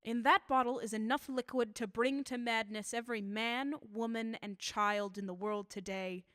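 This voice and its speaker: Radio drama: bitter elderly female inventor of deadly substances played by an actress, higher-pitched, theatrical and deliberate, close dry sound. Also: fairly even intonation, a bit bright, no room sound, close to an everyday voice. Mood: grimly proud. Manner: theatrical, deliberate, formal phrasing.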